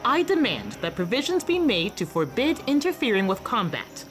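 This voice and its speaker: An imperious lady of a fantasy tournament acted by a woman speaks in a fairly high voice that swings dramatically. Her radio play delivery is formal and declamatory, with faint music behind her. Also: very animated, moderate pace. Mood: formal, demanding.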